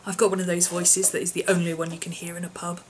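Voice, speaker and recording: Husky, hoarse woman chatting in a vlog. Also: lowish voice, little pitch movement, fast, slight background noise. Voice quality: husky, often whispery. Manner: rambling, lots of ums, small laughs. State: hoarse, voice partly lost from infection. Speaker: vlogger.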